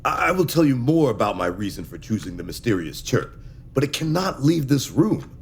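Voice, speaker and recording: An actor playing an anxious, secretive alien dignitary, speaking hesitantly and earnestly in a science fiction audio drama. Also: male voice, medium pitch, sing-song, brisk, gravelly, breathy edge, full character voice. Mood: nervous. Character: anxious, secretive alien dignitary pleading for his endangered people.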